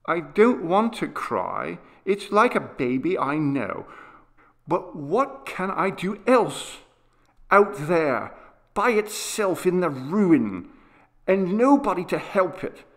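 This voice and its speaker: A man's voice: high-pitched, very animated, takes it slowly, fairly bright tone, voice pushed up, exaggerated voice. A man voicing a frightened, sickly young boy who pleads tearfully with his father. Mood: pleading, tearful. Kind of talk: audiobook character voice.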